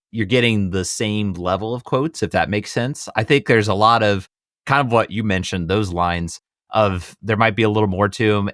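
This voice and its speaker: Low-voiced man on a dry podcast mic. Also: fairly bright tone, dry recording. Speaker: podcast co-host. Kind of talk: casual movie discussion podcast.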